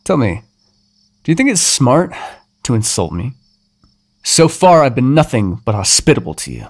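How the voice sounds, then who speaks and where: A man's voice: mid-range voice, sing-song, normal speed, husky. A man voicing a centuries-old male vampire in an audio roleplay, condescending and teasing with sudden threats. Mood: angry.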